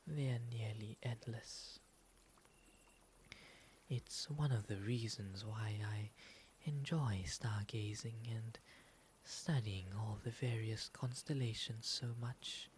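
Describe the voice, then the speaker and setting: Guy doing a soft breathy owl demon gentleman, asmr roleplay, real slow n soothing, polite n romantic, some background ambience. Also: fairly low pitch. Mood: softly wistful. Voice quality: soft, breathy, often whispery. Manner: soothing, genteel, unhurried formal phrasing. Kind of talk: ASMR roleplay, soft half-whispered speech. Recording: noticeable ambient background noise.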